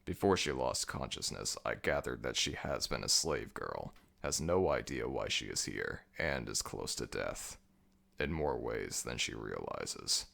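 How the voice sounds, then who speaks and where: Ancient jackal death god, super deep, slow and imperious, brooding, voice swings around, asmr roleplay. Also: male voice, animated, sharp tone, exaggerated voice. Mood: grave, explanatory. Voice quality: very deep, gravelly. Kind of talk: ASMR audio roleplay acting.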